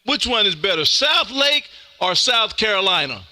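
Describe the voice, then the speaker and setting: A loud, folksy man from Mississippi hosting amateur radio contacts with an exuberant, chatty on-air delivery. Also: high voice, very animated, normal speed, noisy background. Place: indoor home radio room.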